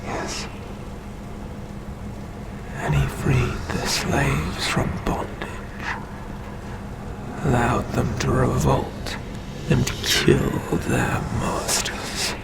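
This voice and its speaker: Man voicing a creaky, very slow eldritch god. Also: medium pitch, fairly even intonation, very noisy, full character voice. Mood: ominous, patient. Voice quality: breathy, creaky, often dropping into whisper. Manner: slow, deliberate, menacing, long pauses. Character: ancient eldritch god, male, sinister, patient, domineering storyteller. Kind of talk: ASMR roleplay, scripted storytelling.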